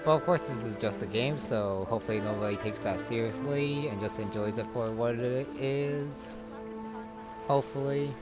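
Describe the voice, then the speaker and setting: A man's voice: medium pitch, moderate pace, loud music behind the voice. A man giving casual gameplay commentary in a musing, hesitant way.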